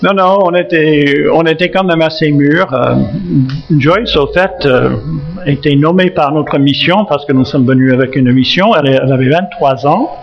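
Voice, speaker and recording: An older American man with a gravelly, slightly raised voice gives a halting, joking talk in church, full of pauses and restarts. He is a retired missionary pastor with an English-speaking American accent. The recording sounds dull and lo-fi. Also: a little high. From United States, Massachusetts. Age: 70s. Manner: halting, frequent fillers and restarts, gently joking. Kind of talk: church talk introducing himself.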